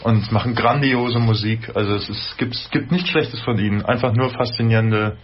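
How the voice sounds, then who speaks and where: A man's voice: on the low side, normal speed. Chatty joking male radio co-host. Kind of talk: casual radio chat. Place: radio studio. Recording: dull radio sound with faint noise.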